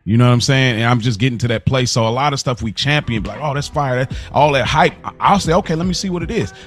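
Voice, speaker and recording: A man's voice: medium pitch, fast, soft background music. Casual American man chatting on a podcast. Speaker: podcast co-host. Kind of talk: casual conversational chat.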